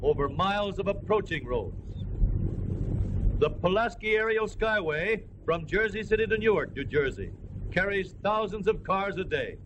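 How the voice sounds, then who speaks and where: A man with a high-pitched American voice narrates in formal 1930s educational film style. His delivery is earnest and declamatory. The old film soundtrack carries faint noise. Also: fairly bright tone, rough recording.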